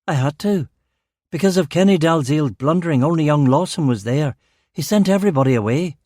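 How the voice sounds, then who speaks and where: A man's voice: fairly high pitch, lively intonation, fairly quick, mellow, dry recording, clean studio sound, lightly acted. A man voicing a rattled police detective in an audiobook, defensive and deferential as he answers his superiors. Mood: defensive, flustered. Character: male detective narrator speaking, rattled, sweary, deferential to superiors. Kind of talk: audiobook character dialogue.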